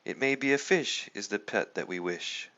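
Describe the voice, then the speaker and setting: A man voicing an excited young girl, an eager little sister in a children's storybook. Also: fairly low pitch, normal speed, lo-fi sound.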